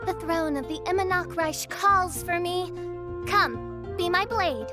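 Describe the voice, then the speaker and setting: A woman's voice: very high voice, measured pace. A woman voicing a theatrical teenage princess in a game cutscene, pompous and imperious, declaiming in archaic language. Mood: grandiose. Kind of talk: video game cutscene acting. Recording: music behind the voice.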